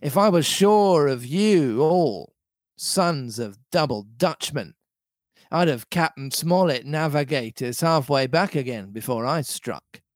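A man's voice: on the high side, lively intonation, takes it slowly, no echo. Man acting a scheming, domineering pirate sea cook, Long John Silver. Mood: impatient, boastful. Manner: rustic old seafaring dialect, emphatic and speechifying.

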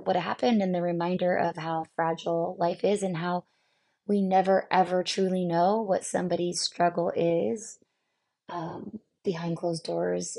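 A woman in her thirties speaks as a therapist on her own podcast, her voice low and gravelly with fry. She is losing her voice, and she talks in a halting, reflective way with plenty of pauses and fillers. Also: warm tone. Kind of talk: solo podcast talk.

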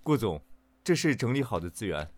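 A man playing a diplomatic, smooth-talking company assistant in a Chinese audio drama. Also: lowish voice, very animated, brisk, darkish tone, a little hiss or hum. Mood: deferential.

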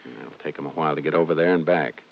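An actor playing a calm, methodical Texas Ranger in a 1950s radio crime drama, speaking tersely and matter-of-factly. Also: male voice, a bass voice, rapid-fire, gravelly. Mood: quietly intent. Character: calm, methodical Texas Ranger lawman narrating his case. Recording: noisy, muffled 1950s radio recording.

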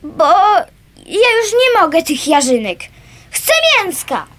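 A female performer playing a stubborn, precocious runaway girl in a radio play, with a blunt, childlike and expressive way of talking. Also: high-pitched, takes it slowly, exaggerated voice. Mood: childlike, candid. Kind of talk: naturalistic Polish radio play acting.

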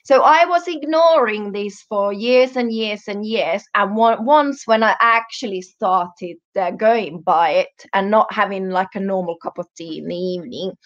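A woman's voice: mid-range voice, measured pace, hardly any pauses. Dull-sounding recording, woman. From Europe, Finnish, born in Germany. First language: Finnish. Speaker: guest, health coach. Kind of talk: casual interview chat.

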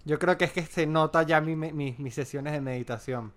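A man's voice: on the high side, moderate pace. A young Venezuelan man co-hosting a podcast in casual, joking chat, with a dry, playful delivery. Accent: Venezuelan Spanish. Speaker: podcast co-host.

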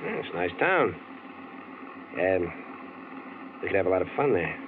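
An actor playing a breezy, persuasive truck driver in a 1940s radio drama, slangy and easygoing. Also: male voice, low-pitched, sing-song, normal speed. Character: smooth-talking male truck driver, Hal. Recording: tinny old radio, clear background hiss.

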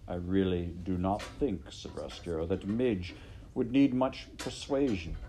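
A man voicing a villainous rat in a storybook, smooth-talking, formal, pompous and menacing. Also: low voice, measured pace, dark tone, a little hiss or hum. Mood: smooth menace.

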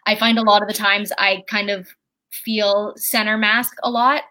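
A person with a very high speaking voice, chatting casually as a guest at home, rambling and halting with lots of fillers and restarts. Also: female voice, flat delivery, sharp tone. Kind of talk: casual chat. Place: at home with a candle lit.

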